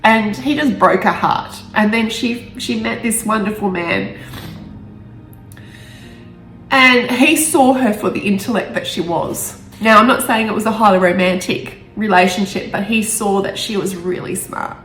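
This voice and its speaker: A woman author speaking in a halting, emotional way, stumbling over words now and then. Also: mid-range voice, fairly bright tone. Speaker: author reading own novel aloud. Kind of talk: amateur storybook reading with personal asides. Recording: roomy echo with faint music.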